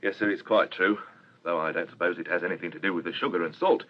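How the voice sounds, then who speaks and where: Bewildered cafe proprietor, courteous, talkative, radio drama, slightly low pitch, muffled recording. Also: male voice, fast. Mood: chatty, explaining. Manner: polite, chatty, explanatory. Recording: dull radio recording with faint hiss.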